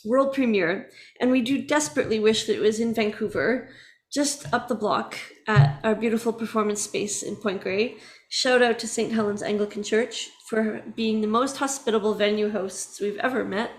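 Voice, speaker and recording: Upbeat woman host chatting with musicians, slightly high voice, easy laughs. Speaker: interviewer for a music organization. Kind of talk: casual video-call interview.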